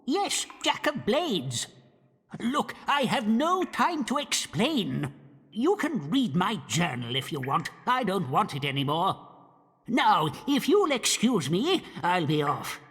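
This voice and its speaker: A nervous, bad-tempered scholar from a fantasy game. His husky voice swings up and down as he grumbles sarcastically, ringing in a long reverb. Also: sits high, big pitch swings, slow pace, fairly bright tone, full character voice. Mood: irritated, hurried. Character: fearful, irritable scholar in hiding, male archaeologist. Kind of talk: video game character dialogue.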